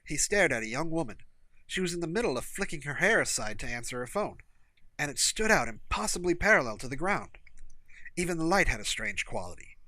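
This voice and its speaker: Male audiobook narrator. Animated pitch, crisp forward edge, dry close sound. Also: no room sound, poor audio. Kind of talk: scripted fiction narration.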